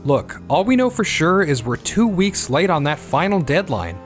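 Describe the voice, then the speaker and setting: A man playing a fair-minded, encouraging office team leader in a manga dub, speaking in a measured, reassuring way. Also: on the high side, big pitch swings. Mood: calm, reassuring. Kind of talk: anime-style manga dub dialogue. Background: background music.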